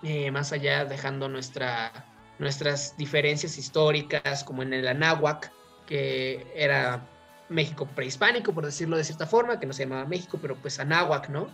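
A woman with a low voice and a Mexican accent speaks as a talk host over faint music. She shares her opinions informally, in a discursive way, often turning to check that her listener agrees. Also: moderate pace, vocal fry, a bit bright.

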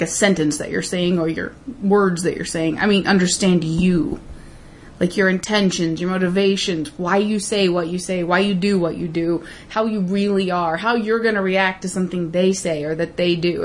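A woman with a slightly raspy voice talks easily on a home podcast. She chats about everyday things and drifts into reflective advice. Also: mid-range voice. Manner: chatty, reflective, laughs easily. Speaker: podcast co-host. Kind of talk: casual chat and advice segment.

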